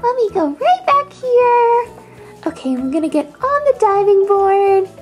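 A woman voicing an excited, childlike toy pet in a children's pretend-play video, gushing in singsong exclamations. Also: very high-pitched, a little slow, soft background music, exaggerated voice. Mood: playful, gleeful.

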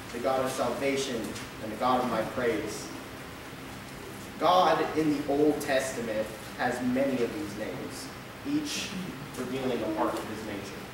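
A young man delivers a sermon from his notes at the pulpit, reading steadily and tripping over a word now and then. His voice rings through a very echoey church sanctuary, picked up from a distant camera with a noticeable hiss behind it. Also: medium pitch. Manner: reads steadily from a script at the pulpit, with occasional stumbles and filler. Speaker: lay preacher. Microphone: pulpit mic, camera at the back. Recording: echoey church hall recorded from afar.

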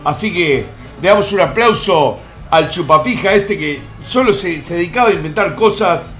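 Crude joking man, high-pitched. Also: expressive, normal speed, a bit bright, faint music behind. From born in the United States. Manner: vulgar, joking, rambling asides. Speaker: streamer host. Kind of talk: crude comic countdown commentary. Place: home room in Miami.

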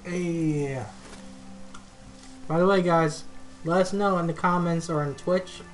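A woman's voice: on the low side, fairly even intonation, slow pace, soft background music, low-quality recording. A woman chatting casually as a gaming streamer during co-op play. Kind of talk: casual co-op let's play chat.

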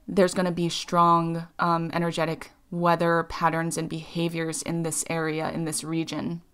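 A woman from Hawaii giving a channeled spiritual talk as a voice-over, halting often with fillers and restarts. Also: deep voice, monotone, a bit bright, clear, resonant tone.

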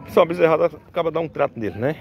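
Chatty farmer outdoors. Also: male voice, fairly high pitch, expressive, very fast, darkish tone. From Northeast Brazil. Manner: chatty, fills with "né" and "pessoal". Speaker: farmer vlogger. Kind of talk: casual walkthrough commentary. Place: outdoor cattle market pens. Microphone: handheld phone camera. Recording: outdoor noise of cattle and vehicles.

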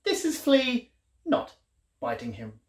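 A man's voice: very high-pitched, huge pitch swings, slow pace, echoey room. A man in his thirties reading a picture book aloud to young children in a playful, exaggerated storyteller way. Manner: playful, exaggerated storyteller delivery with questions to the listener. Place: small living room, indoors.

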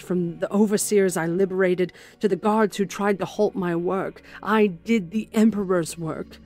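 A woman's voice: fairly low pitch, a little mellow, natural voice. A woman voicing a grim, zealous warrior nun who recounts a horror in solemn, intense dramatic narration. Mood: haunted, zealous.

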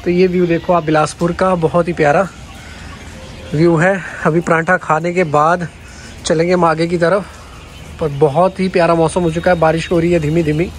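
A young man with a fairly high-pitched voice talks casually to his viewers as he travels. It is recorded on a phone held inside a moving car, with faint road noise behind him. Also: flat delivery, normal speed. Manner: chatty, addresses viewers directly. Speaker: travel vlogger. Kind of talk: casual travel vlog narration.

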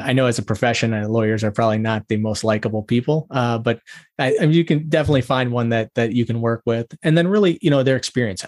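A man's voice: mid-range voice, darkish tone. A man speaking as a guest attorney in a podcast interview, laying out advice methodically with strings of questions. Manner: methodical, lists questions one after another. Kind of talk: interview answers, advising.

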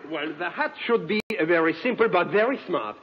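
A man's voice: sits high, animated, fairly quick. An actor playing an affected, fussy male fashion designer in a 1940s radio comedy. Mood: fussy, explaining. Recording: dull, noisy 1940s radio broadcast.

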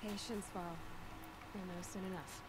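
A woman playing a self-assured, commanding young huntress in a video game, speaking in a measured, purposeful way. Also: medium pitch, fairly even intonation, close to an everyday voice, low-quality recording. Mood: calm, assured. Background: game music.

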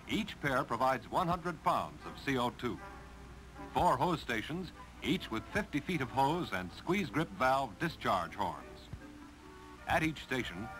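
An American man reading scripted 1950s instructional film narration in a clear, measured delivery. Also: mid-range voice, low-quality recording. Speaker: narrator of a railroad training film. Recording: 1950s film soundtrack with music behind.

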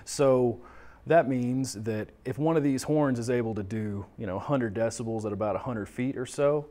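A man's voice: medium pitch, moderate pace, warm tone. An American man in his thirties presenting a casual, conversational product explainer, often posing questions and then answering them. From Texas, United States. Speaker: tech talk presenter explaining audio products. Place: small indoor studio office, seated or standing at a table.

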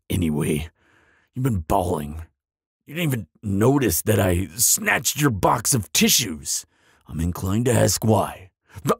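Guy doing a grumpy bogeyman for audio roleplay, super husky low voice, pompous n easily flustered, secretly soft. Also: dry recording, clean studio sound, exaggerated voice. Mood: grudging concern. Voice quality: raspy, gravelly. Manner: pompous, flustered stammering, dramatic.

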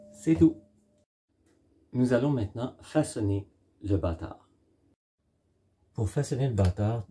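A middle-aged man giving a step-by-step cooking demonstration in a home kitchen, explaining in short, halting instructional phrases. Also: fairly low pitch, warm tone, slightly rough. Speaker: home baker presenting a recipe.

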